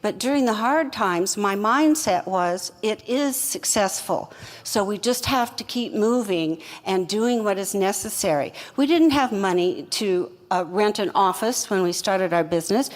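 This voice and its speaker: A woman's voice: mid-range voice, expressive. Older woman co-founder, earnest and reflective, echoey hall. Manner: earnest, reflective, measured storytelling. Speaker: wine company co-founder. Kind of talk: fireside chat interview answers. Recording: long hall reverb.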